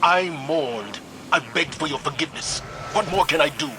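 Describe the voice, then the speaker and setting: A man playing a stoic veteran military commander in a video game, haunted by grief, halting and strained as he speaks. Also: fairly high pitch, expressive, background noise, natural voice. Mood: anguished. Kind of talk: dramatic video game acting. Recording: dramatic music behind the voice. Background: game music.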